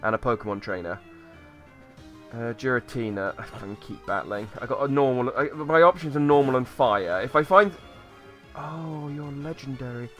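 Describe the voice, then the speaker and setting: A man with a slightly hoarse voice from a cold, rambling and thinking aloud as he plays through a game and talks to viewers. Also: medium pitch, moderate pace, fairly bright tone, soft background music. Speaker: let's play host. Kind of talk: casual gameplay commentary.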